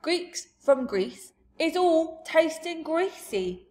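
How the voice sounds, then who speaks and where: A woman reading a storybook aloud, voicing a huge, jolly male giant who booms and shouts in mangled grammar. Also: sing-song, slow pace, heavily acted. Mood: booming.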